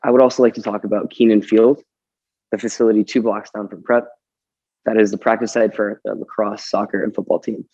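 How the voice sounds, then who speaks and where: Raspy teen guy giving a polite welcome speech, kinda low and dull lo-fi. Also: level tone, brisk, mellow, no room sound. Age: late teens. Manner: formal and polite. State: slightly raspy. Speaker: student team captain. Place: school gym.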